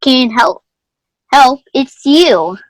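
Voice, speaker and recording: A woman's voice: sits high, lively intonation, very slow, a little hiss or hum, heavily acted, low-quality recording. A woman playing the kindly sun in a children's readers theater piece, with a folksy way of reading. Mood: troubled. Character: kindly, grateful sun, old friend in the sky.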